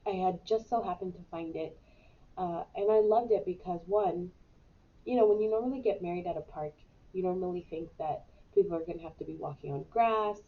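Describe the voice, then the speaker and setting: A woman's voice: lowish voice, a little fast, phone-quality sound. Congested woman chatting on a live stream. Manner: chatty, rambling, with fillers. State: congested with a cold. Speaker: host of a weekly live business show. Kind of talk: casual live-stream chat.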